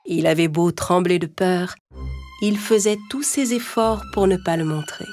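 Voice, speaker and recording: A woman's voice: lowish voice, measured pace, a little mellow. A woman narrator reading a scripted animal fable for children in storytelling style. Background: music.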